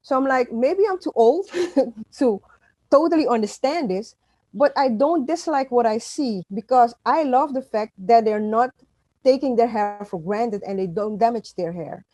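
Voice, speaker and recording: Animated middle-aged woman from Suriname. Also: fairly high pitch, a little slow, dry recording, poor audio. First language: Dutch. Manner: animated, emphatic, with frequent restarts. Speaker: author and guest. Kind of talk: conversational interview.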